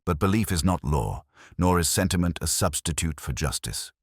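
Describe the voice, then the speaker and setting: Guy doing a very deep victorian detective, stern n logical, formal, voice moves around a lot, dry close sound. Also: animated, husky, no room sound. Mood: measured, stern. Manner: measured, formal, certain.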